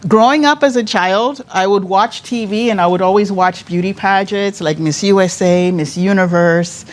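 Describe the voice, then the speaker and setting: A woman's voice: medium pitch, normal speed. A woman in her fifties, born in Cuba, a pageant director telling her life story as an interview guest, laughing often and restarting her sentences. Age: 50s. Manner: lively, laughs often, talks with many restarts.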